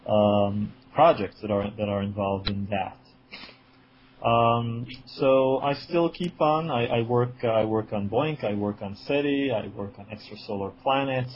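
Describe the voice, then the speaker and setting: A man in his forties from Israel, answering interview questions with many fillers and restarts, and a slight cold. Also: fairly low pitch. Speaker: guest, writer and editor. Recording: dull lo-fi sound with faint noise.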